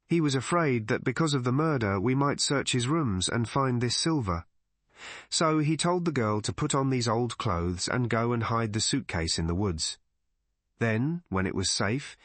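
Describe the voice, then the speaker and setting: A man's voice: medium pitch, fairly bright tone, no echo, close to an everyday voice. A man playing a brusque, bad-tempered police inspector in an audiobook mystery. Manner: brusque, declarative.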